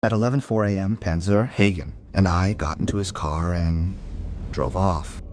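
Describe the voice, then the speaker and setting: A man's voice: deep voice, normal speed, darkish tone, slight background noise, lightly acted. A man playing a dogged police investigator who narrates his case in a dry, matter-of-fact way. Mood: measured, matter-of-fact. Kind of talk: scripted crime audio drama narration. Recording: music under the voice. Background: music.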